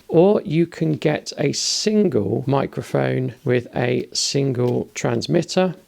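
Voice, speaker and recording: A man's voice: mid-range voice, creaky, darkish tone. A British man giving a casual spoken product review of a gadget.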